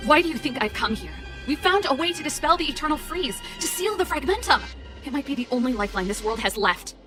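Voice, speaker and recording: An actress playing a bold, defiant older sister who rebels against authority in a video game, impassioned and insistent. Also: female voice, high-pitched, very animated, quick pace. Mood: urgent, insistent. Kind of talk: dramatic video game voice acting. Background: game music.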